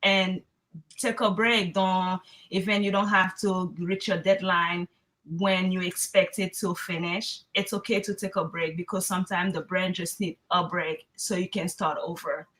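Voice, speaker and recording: A woman's voice: fairly low pitch, little pitch movement, measured pace, a bit bright. A woman in her twenties from Haiti, an online student giving earnest, candid advice in an interview. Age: 20s. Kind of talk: interview answers.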